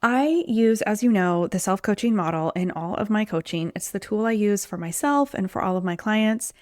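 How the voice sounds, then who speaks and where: A woman's voice: mid-range voice, lively intonation, normal speed, a little mellow, dry recording, clean studio sound. A woman hosting her own podcast as a weight loss coach, talking in a chatty, conversational way, her voice a little off from being sick. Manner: chatty, conversational, says "like" and "um" often. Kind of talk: solo podcast talk.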